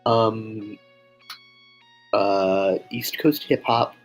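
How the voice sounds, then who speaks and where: A man's voice: on the low side, fairly even intonation, drawn-out words, poor audio. A man co-hosting a livestream in casual banter. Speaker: livestream co-host. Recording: music playing behind the voice.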